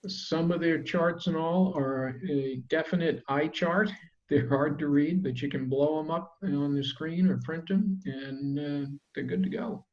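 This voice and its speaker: A man hosting an online club meeting in a relaxed, chatty way, with plenty of fillers. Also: a little high. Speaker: meeting host. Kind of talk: casual meeting wrap-up. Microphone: video call. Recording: dull lo-fi call audio.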